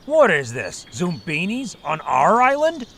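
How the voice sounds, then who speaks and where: Gravelly, high, sharp alien thug. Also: male voice, huge pitch swings, unhurried, exaggerated voice. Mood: hostile. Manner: harsh, indignant. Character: hostile, glaring three-eyed alien fleen thug in Viking helmet. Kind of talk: audio drama acting. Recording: faint background noise.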